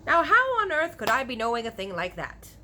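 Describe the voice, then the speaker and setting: A woman voicing a very old, blustery but earnest male giant with mangled grammar and drawn-out, emphatic words. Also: on the high side, huge pitch swings, pushed and bright, slight background noise, voice pushed up, full character voice.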